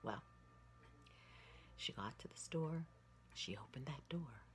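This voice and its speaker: Older woman, low-pitched, animated storyteller. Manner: dramatic, folksy, with emphatic pauses. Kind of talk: animated oral storytelling for children. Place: indoor room with wooden ceiling. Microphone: phone at arm's length.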